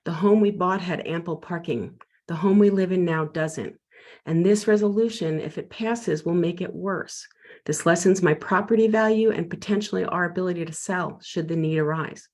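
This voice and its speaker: A man's voice: sits high, darkish tone. Concerned middle-aged man reading a comment. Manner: measured, concerned, read from notes. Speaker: resident giving public comment. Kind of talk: reading a prepared public comment.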